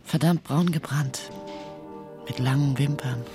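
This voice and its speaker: An actress playing an observant, wry inner narrator in a radio crime drama. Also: female voice, extremely low pitch, measured pace, music in the background. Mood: wry aside.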